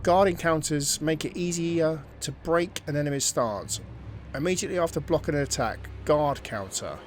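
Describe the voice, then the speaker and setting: A man's voice: on the high side, normal speed, slight background noise. A man giving casual let's-play commentary, musing and thinking aloud, sometimes dropping to a near-whisper. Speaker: gameplay commentator.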